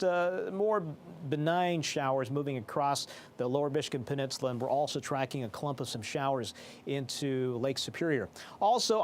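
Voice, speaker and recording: Male weather presenter, mid-pitched, formal explanatory forecast delivery, slight background noise. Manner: formal, explanatory broadcast delivery with technical phrasing. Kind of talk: live weather forecast commentary.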